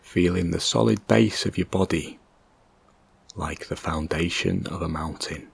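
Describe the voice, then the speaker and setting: A man leading a guided meditation in a gentle, calm, measured way, leaving long pauses between short instructions. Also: low-pitched, vocal fry, breathy edge.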